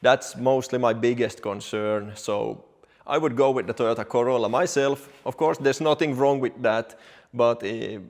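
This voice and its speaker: A man's voice: mid-range voice, moderate pace. A man from Finland speaks in a mid-range voice. He hosts an explanatory car vlog as a technician, addressing viewers directly in plain-spoken, English. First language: Finnish or Swedish. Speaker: car technician and video host.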